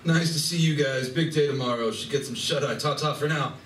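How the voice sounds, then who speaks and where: A man's voice: a little high, a little fast, a bit bright, lightly acted. A man playing a flirtatious, hard-drinking male wolf in a radio play, flippant and given to rambling. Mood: flippant, roguish. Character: boozy, flirtatious, roguish male wolf party animal. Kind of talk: live stage radio play acting. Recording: roomy, distant recording with faint noise.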